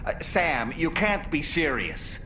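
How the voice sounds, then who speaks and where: A man's voice: on the high side, big pitch swings, slow pace, noisy background. A man acting a chatty, incredulous character in a video game cutscene.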